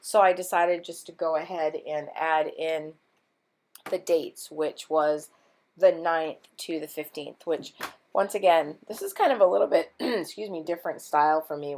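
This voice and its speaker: A woman with a voice she says is going hoarse, chatting casually through a scrapbooking tutorial with lots of ums. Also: deep voice, a little hiss or hum. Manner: rambling, chatty, many ums. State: losing her voice. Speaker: scrapbooking vlogger. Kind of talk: casual craft tutorial chat.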